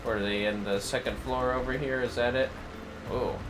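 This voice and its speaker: A man's voice: fairly even intonation, normal speed, noisy background. A man livestreaming a game, muttering casual, wry, offhand commentary to himself. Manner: low-key, wry, offhand.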